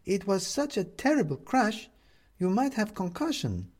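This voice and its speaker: A man's voice: sits high, very animated, measured pace, darkish tone, pitched above the performer's own voice, heavily acted. A man voicing a kindly, anxious middle-aged woman neighbour in a storybook, with a concerned, slightly formal manner.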